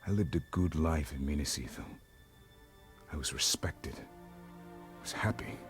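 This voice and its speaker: An actor playing a weary, steadfast captain in exile in a video game, speaking earnestly. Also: male voice, extremely low pitch, music in the background. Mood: weary, reflective. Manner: earnest, measured. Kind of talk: video game cinematic acting.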